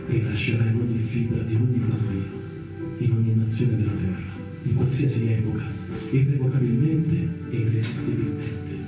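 A man reciting a solemn dramatic monologue as a radio theatre actor, with measured, exhortatory phrasing and deliberate pauses. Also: on the low side. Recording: distant, very echoey radio theatre recording. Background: plucked guitar music.

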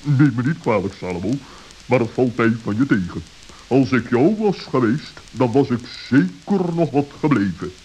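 A man's voice: medium pitch, lively intonation, warm tone, natural voice. Man playing a pompous old-school owl, faint hiss. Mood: reproachful. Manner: pompous, wordy, deliberate. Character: pompous, suspicious, scholarly male owl. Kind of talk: children's radio play acting. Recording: hissy, crackly old audio recording.

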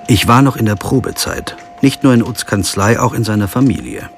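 A man's voice: on the low side, a little fast, slight background noise, lightly acted. An actor playing a sober, observant lawyer who narrates his own story in a radio crime drama. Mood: sober, reflective. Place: studio.

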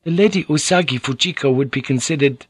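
A man's voice: fairly high pitch, a little fast, no room sound, voice pushed up, close to an everyday voice. Brief: male narrator doing a polite, earnest noblewoman in an audiobook, raised and lilting, dry close sound. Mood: soothing. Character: gracious young Japanese noblewoman interpreter, anxious. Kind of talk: audiobook character voice.

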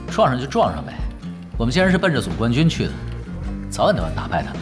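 A man's voice: mid-range voice, huge pitch swings, fast talker, music in the background. A man playing a laid-back, confident pro gamer and team leader in an audio drama, offhand and dryly teasing.

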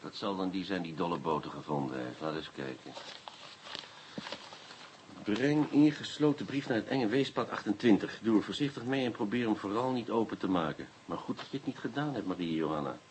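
An actor playing a stern, sardonic police inspector in a Dutch radio drama, with a dry, probing, formal way of talking. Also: male voice, lowish voice, animated, a little fast, darkish tone, a little hiss or hum, natural voice.

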